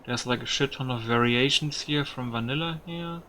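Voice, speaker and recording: A man's voice: mid-range voice, moderate pace, pushed and bright, a little hiss or hum. A man giving casual video game commentary, musing aloud with some mild swearing. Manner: musing aloud, mildly profane.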